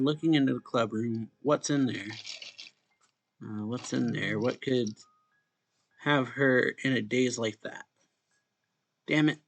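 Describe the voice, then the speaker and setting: A man with a noticeable vocal fry and a mid-range voice reads story text aloud for a let's play. His delivery is halting, with stumbles and repeated phrases, and music plays faintly behind him. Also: medium pitch, a bit bright. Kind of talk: reading a visual novel aloud.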